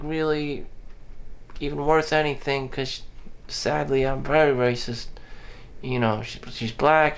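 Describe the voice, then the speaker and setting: A man talking bluntly in a rambling personal monologue for his vlog, full of "you know" fillers, restarts and casual swearing. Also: fairly high pitch. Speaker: vlogger. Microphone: audio-only recording.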